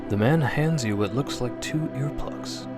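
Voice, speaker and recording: A male narrator with a mid-range voice tells a scripted suspense story directly to the listener. His delivery is expressive and dramatic, in the style of old-time radio, with music clearly underneath. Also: mid-range voice, a little slow. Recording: narration over prominent background music.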